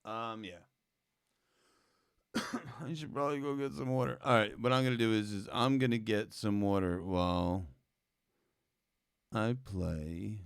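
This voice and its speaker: A hoarse man, a musician, chatting casually and rambling between songs for his fans. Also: fairly low pitch, measured pace, long pauses, no echo, studio quality. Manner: rambling, loose, with casual slang asides. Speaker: musician hosting a fan update. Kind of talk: casual late-night chat between songs. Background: guitar playing.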